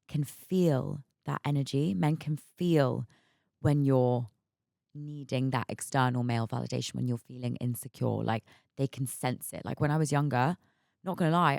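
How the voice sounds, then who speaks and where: A young woman in her mid-twenties hosting a podcast in a raspy, hungover voice that sits very low and crackles with vocal fry. She chats candidly and laughs easily. The sound is dry and close, with no room echo. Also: a little mellow. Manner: chatty and candid, laughs often, repeats words. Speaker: podcast host. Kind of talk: casual solo podcast chat.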